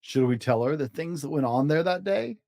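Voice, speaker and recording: Male storybook reader, highly animated pitch, emphatic rhymes, dry sound. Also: a little fast, creaky, no room sound. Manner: expressive, emphatic rhyming delivery. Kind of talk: animated children's story read-aloud.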